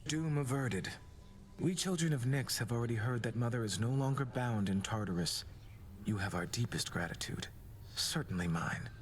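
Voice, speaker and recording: A man playing a gentle, gloomy god of doom in a game, formal and hushed. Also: medium pitch, moderate pace, a little hiss or hum, close to an everyday voice. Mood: solemn, grateful. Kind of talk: scripted game voice acting.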